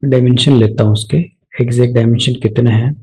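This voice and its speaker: A man giving a step-by-step software tutorial off the cuff, mixing English technical terms into his speech. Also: mid-range voice, fairly even intonation, fast, husky, rough recording. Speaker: software instructor.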